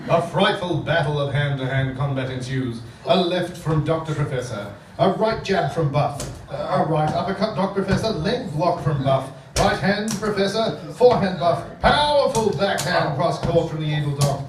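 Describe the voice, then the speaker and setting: A man's voice: a little high, lively intonation, normal speed, hardly any pauses, distant mic, background noise, lightly acted. A man acting a melodramatic narrator of a comic radio serial, announcing with dramatic emphasis. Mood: excited commentary. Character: melodramatic male serial narrator and excitable fight commentator. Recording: echoey theatre from afar, loud backing music. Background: loud backing music.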